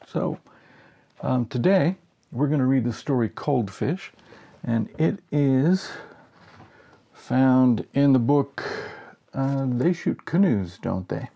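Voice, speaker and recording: A man in his sixties giving an expressive reading of a humorous story. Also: mid-range voice, slow, gravelly, long pauses, slightly rough, highs cut off. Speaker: story reader. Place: small home office, indoors.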